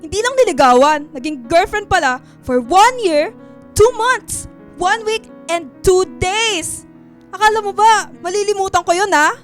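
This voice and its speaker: A woman's voice: very high-pitched, lively intonation, unhurried. An actress playing a giddy, clingy young girlfriend in a radio drama, theatrical and whiny, with a Filipino accent. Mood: mock jealous. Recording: music bed under voice.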